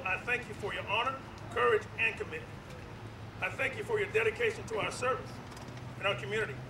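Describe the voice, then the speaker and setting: A young Marine officer delivers a measured, formal tribute in a high voice. He is picked up from afar through an echoey public address system outdoors. Clear traffic noise runs underneath. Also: recorded from a distance. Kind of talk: formal ceremonial tribute speech.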